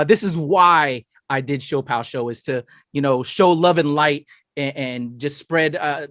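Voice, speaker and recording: Hype-man podcast host, high voice, super dry close sound, chatty and loud on a video call. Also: dry recording. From United States. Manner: hype-man energy, big shout-outs, loose and chatty. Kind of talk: hyped podcast interview over video call. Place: home room full of toys and collectibles.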